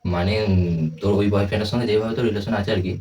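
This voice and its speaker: A man's voice: lowish voice, steady tone, racing, dark tone. A young man chatting casually as a prank caller, in a teasing, coaxing manner. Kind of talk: casual phone chat. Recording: distant mic, faint background noise.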